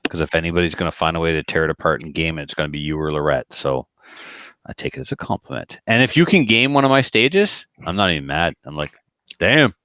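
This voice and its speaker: A man's voice: low voice, animated, fast talker, pushed and bright, no echo. A man chatting casually on a podcast in a rambling, colloquial way, often slipping into quoted dialogue. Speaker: podcast speaker who organizes shooting matches.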